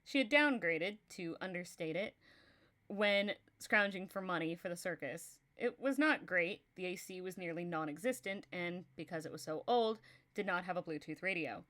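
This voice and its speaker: A woman's voice: fairly low pitch, expressive, moderate pace, a bit bright. A young woman from Texas with a Texan accent, reading a story aloud on a livestream and chatting casually, laughing often.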